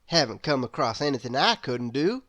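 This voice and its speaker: A woman's voice: a bass voice, very animated, normal speed, a bit bright, heavily acted. A woman voicing a confident, modest male fantasy character from a children's storybook, speaking emphatically.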